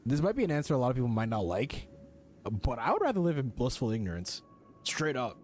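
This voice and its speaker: A man's voice: mid-range voice, huge pitch swings, fast, darkish tone. A man streaming a video game with casual, blunt, slangy live commentary and some swearing. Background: game music.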